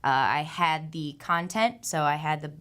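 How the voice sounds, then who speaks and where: Female teacher in her late 20s, low-pitched, friendly interview guest. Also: fairly bright tone, clear, resonant tone. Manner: friendly, a bit quick, some fillers. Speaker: high school chemistry teacher. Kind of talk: studio interview.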